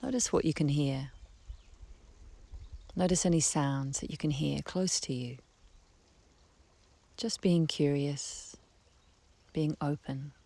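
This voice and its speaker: Very slow, soft-voiced woman guiding relaxation. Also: low voice, vocal fry, no room sound. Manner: gentle, unhurried, long pauses. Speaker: guided relaxation teacher. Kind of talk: guided meditation, soothing slow instruction. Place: outdoors beside a harbour. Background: birdsong, trickling stream, breeze.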